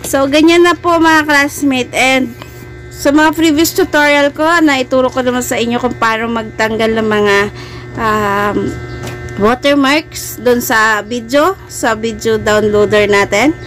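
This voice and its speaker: A woman's voice: fairly high pitch, unhurried, fairly bright tone, faint music behind. A Filipino woman hosting a casual, friendly and chatty step-by-step phone editing tutorial for beginners, speaking politely to her viewers. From Philippines. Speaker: host of a video editing tutorial channel.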